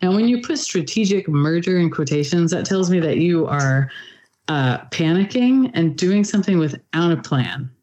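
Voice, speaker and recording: A woman's voice: lowish voice, expressive, moderate pace, dark tone. A woman from California co-hosting a casual beer podcast. She talks with a dry, sarcastic edge and laughs easily between her remarks. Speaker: podcast co-host. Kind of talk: casual chat.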